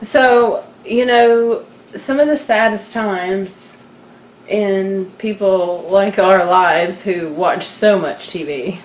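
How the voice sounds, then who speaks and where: A woman with a mid-pitched voice talks off the cuff like a podcast co-host. Her delivery is informal and wry, with joking asides. The room rings with echo and there is faint noise behind her. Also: slow pace. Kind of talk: casual unscripted chat about TV. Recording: echoey room, background noise.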